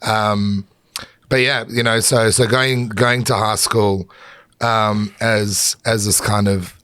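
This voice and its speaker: A man's voice: on the low side, level tone, slow, fairly bright tone, clean tone, no echo. A middle-aged man with a South African accent, a chef guest talking candidly on a podcast and swearing freely. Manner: candid, swears freely, many fillers and restarts. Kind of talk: podcast conversation.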